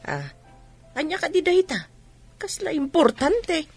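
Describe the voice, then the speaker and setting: A woman's voice: very high voice, sing-song, measured pace, faint music behind, full character voice. An actress playing a village mother hearing gossip about her child in a radio drama, with an Ilocano accent. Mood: curious, alarmed.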